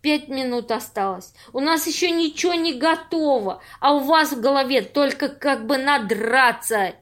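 A woman's voice: high voice, normal speed, fairly bright tone. A woman acting an earnest, anxious and strict character in an amateur audio play, speaking in a fretful, reproving way. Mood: indignant.

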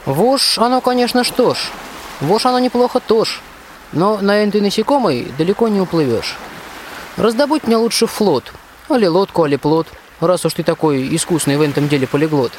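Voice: mid-range voice, animated, normal speed, close to an everyday voice. A performer playing a plucky, friendly soldier hero in a rhymed radio play, declaiming heartily. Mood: hearty, persuasive. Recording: faint watery ambient noise.

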